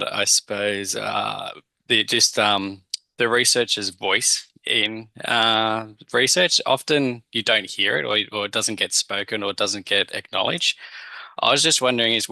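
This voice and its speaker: A man's voice: lowish voice, creaky, bright, forceful tone, no echo. A man asking a question at an online conference, hesitant and searching for his words. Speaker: audience member asking a question. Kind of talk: conference Q&A on a video call. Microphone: video call.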